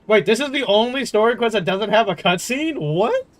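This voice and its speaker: A man's voice: sits high, animated, normal speed. A young man livestreaming a game from his room, chatting casually with slangy, playful reactions. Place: in a room at home. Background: faint game music.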